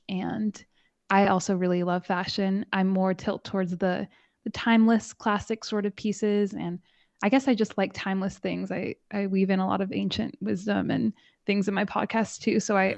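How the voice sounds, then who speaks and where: A soft-spoken woman talking calmly and evenly as a guest in a casual interview. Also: medium pitch, vocal fry, no room sound, muffled recording. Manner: calm, measured, soothing. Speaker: podcast host and guest.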